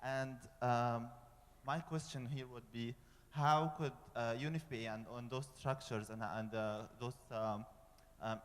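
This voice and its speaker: A young man with an Egyptian accent earnestly putting a question from the audience at a public forum, restarting phrases as he goes. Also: mid-range voice. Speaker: audience member asking a question. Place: large conference hall. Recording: reverberant conference hall.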